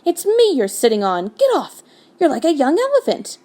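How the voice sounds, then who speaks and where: A woman's voice: high-pitched, wildly swooping pitch, very slow, dark tone, voice pushed up, exaggerated voice. A woman voicing an angry, blunt male dwarf in a comic storybook manner, bellowing and grumbling. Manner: bellowing, exclamatory.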